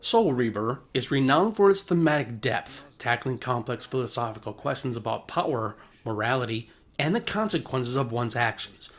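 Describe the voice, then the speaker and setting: Polished male video essay narrator, medium pitch. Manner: polished, formal, explanatory. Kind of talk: scripted narration.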